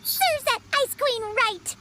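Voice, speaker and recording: An actress voicing a tiny, childlike female fairy companion in a video game, squeaky, chatty and excitable, with a petulant streak. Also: slow, heavy background noise, exaggerated voice. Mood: miffed, cheeky. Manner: excitable, refers to self in third person.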